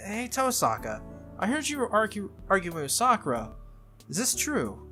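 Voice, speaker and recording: Earnest, animated teenage anime schoolboy, read by a playthrough host. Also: medium pitch, moderate pace, soft background music, pitched above the performer's own voice. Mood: incredulous.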